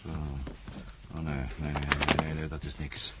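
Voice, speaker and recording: A man's voice: rumbling low, little pitch movement, heavy background noise. A man acting in a radio drama as a headstrong, irritable husband, muttering and impatient. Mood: weary, distracted. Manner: impatient, muttering, quick to grumble. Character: headstrong, irritable husband and amateur investigator of ancient signs.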